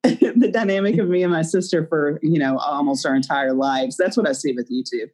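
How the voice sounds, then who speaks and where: A woman's voice: low voice, a little fast, darkish tone. A woman co-hosting a casual podcast, speaking candidly and self-deprecatingly and laughing often. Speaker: podcast co-host. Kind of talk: casual chat.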